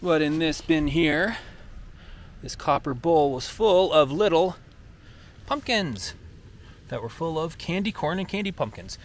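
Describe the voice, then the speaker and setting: A man's voice: a little high, expressive, a little slow, pauses often. A man giving a playful walk-through of a decorated outdoor trail at night, narrating in a theatrical storybook manner while holding the camera.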